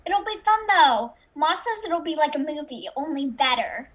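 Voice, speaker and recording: Woman playing high-pitched eager little kid. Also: very animated, normal speed, fairly bright tone, dull audio. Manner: short, childlike replies. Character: small eager, plain-spoken child. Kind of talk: audio drama acting.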